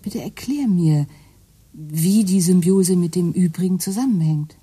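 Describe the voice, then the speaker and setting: A dry sarcastic wife, radio play, low husky voice, mellow tone. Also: female voice, measured pace, dry recording.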